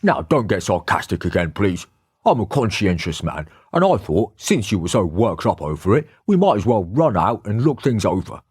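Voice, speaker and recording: A man doing a husky, gruff stout detective. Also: fairly low pitch, sing-song, normal speed, a little mellow, no echo, studio quality. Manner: folksy, gruff, ungrammatical. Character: stout blunt male detective, middle-aged.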